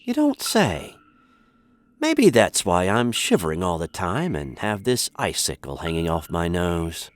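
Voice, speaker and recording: A man's voice: low-pitched, wildly swooping pitch, unhurried, dry recording, full character voice. A man with an American accent playing a grumpy, hapless prisoner in a comic radio sitcom, sardonic and exasperated.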